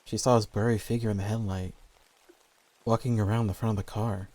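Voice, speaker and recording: A man's voice: on the low side, level tone, a little fast, darkish tone. A man reading scripted horror stories as the narrator.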